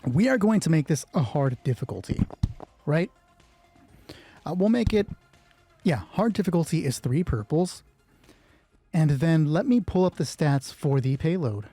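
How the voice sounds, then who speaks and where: A man's voice: on the high side, expressive, measured pace, pauses often, mellow, slightly rough, no echo, faint music behind. A man casually narrating an improvised solo roleplay game, thinking aloud and swearing offhandedly.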